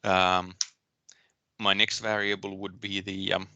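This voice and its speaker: A man with a Finnish accent giving a recorded programming lecture, pausing often with ums and restarting his sentences. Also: deep voice, flat delivery, sharp tone, smooth, no echo, highs cut off. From Finland. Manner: hesitant, many ums and restarts. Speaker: university lecturer.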